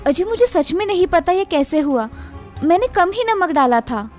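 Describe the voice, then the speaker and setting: Woman playing a high-pitched, meek daughter-in-law. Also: sing-song, moderate pace, a little mellow, faint music behind. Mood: apologetic. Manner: polite, deferential. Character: dutiful, meek young Indian daughter-in-law. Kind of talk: animated Hindi moral story dialogue.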